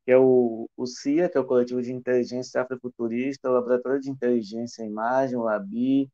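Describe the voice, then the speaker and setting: A man's voice: medium pitch, fairly even intonation, fast talker, mellow. A middle-aged man hosting an online academic debate on a headset mic, speaking with frequent fillers and restarts. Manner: hesitant, many fillers and restarts. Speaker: event host and philosophy department coordinator. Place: small room indoors. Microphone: earphone headset on video call. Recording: dull lo-fi video call audio.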